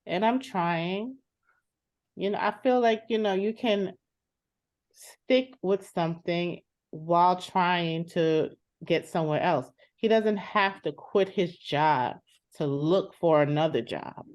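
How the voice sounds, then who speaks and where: A woman's voice: fairly low pitch, slow, darkish tone. A woman playing a fed-up adult sister in a dramatized therapy session, speaking in an exasperated, insistent, blunt way. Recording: dull lo-fi sound.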